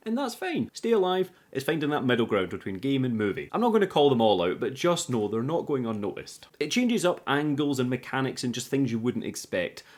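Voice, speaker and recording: Irreverent, sweary male reviewer, medium pitch. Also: big pitch swings, fairly quick, few pauses. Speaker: video host reviewing a film. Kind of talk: scripted casual review narration.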